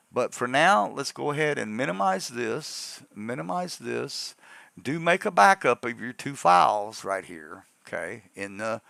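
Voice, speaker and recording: A man walks through a software tutorial with a gravelly, creaky voice at a medium pitch. He explains informally and rambles a little from step to step. The sound is dry and close to the mic. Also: no echo. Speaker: tutorial host. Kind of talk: casual software tutorial narration.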